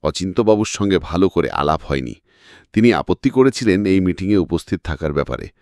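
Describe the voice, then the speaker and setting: A man with a lowish voice narrates a story dramatically, with wide swings in pitch. The sound is close and dry, like an audiobook. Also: lively intonation, fairly quick, a little mellow, dry recording.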